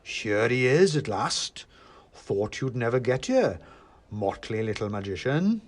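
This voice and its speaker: A man's voice: mid-range voice, big pitch swings. Man acting a big, menacing Father Christmas. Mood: mock jolly. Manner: mocking, deliberate. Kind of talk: storybook character voice.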